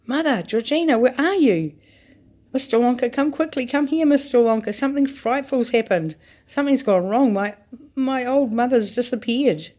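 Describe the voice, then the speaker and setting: A woman voicing a frantic middle-aged mother, crying out in shrill alarm. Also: animated, measured pace, darkish tone.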